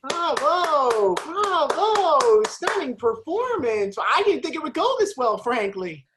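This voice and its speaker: Lively, swooping casual onlooker played by a woman. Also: high voice, takes it slowly, noisy background, full character voice. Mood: impressed. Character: casual, nonchalant theatergoer commenting on the show.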